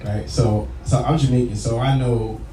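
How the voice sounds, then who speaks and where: A man with a New York accent interviewing casually as a podcast host, building up to his questions informally and haltingly. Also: mid-range voice, steady tone. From New York, United States. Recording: echoey room, distant mic, loud background noise.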